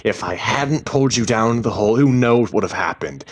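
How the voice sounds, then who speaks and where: A bewildered, defensive husband in a story drama, played by a man reading aloud. He speaks insistently and with emphasis, pushed up in pitch from the reader's deep natural register. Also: moderate pace, husky. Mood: heated. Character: bewildered, defensive husband who hears voices.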